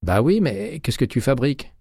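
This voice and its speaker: A man's voice: mid-range voice, very animated, moderate pace, mellow, slightly rough, no echo, studio quality, pitched above the performer's own voice. A man reading an audiobook, voicing a chatty, bossy female co-worker who exclaims and scolds familiarly. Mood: nosy. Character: chatty, bossy woman co-worker, gossipy friend.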